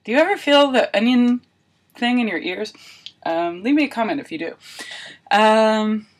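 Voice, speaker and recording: A woman's voice: fairly high pitch, animated, normal speed. A woman video blogger talking casually and thinking aloud, with wry, self-deprecating humour. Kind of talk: casual chatty vlog narration of a homemade experiment.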